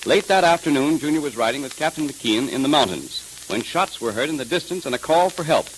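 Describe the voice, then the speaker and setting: A man's voice: a little high, expressive, a little fast, rough recording. An American man reading scripted ads and story narration as an emphatic, dramatic 1930s radio announcer. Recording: hissy 1930s radio transcription.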